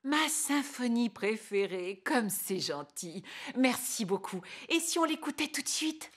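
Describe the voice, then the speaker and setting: An actress playing a polite, expressive woman professor in a French animated cartoon. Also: a little high, unhurried. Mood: delighted. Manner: expressive, courteous. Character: polite, cheerful woman professor, adult, warmly delighted.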